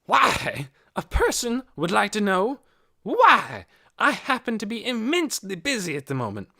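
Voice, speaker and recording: A man's voice: a little high, huge pitch swings, measured pace, creaky, sharp tone, pitched above the performer's own voice, exaggerated voice. A reader voicing a tall, stooped, pompous man who speaks formally and with indignation in an audiobook. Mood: indignant. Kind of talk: audiobook character voice.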